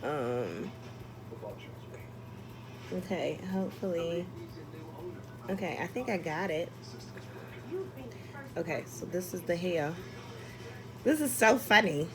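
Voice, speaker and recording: A woman chatting casually as a vlogger, telling a personal story in an expressive, exasperated, sweary and comedic way. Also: lowish voice, slow, pauses often, crowd noise, poor audio.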